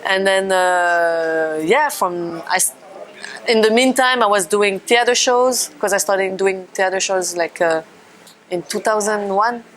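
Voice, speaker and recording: A woman's voice: mid-range voice, steady tone, measured pace, fairly bright tone, rough recording. A woman in her thirties from France with a French accent, speaking English as a second language and telling her life as a dancer in a hesitant way, with many fillers and restarts. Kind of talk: casual personal account.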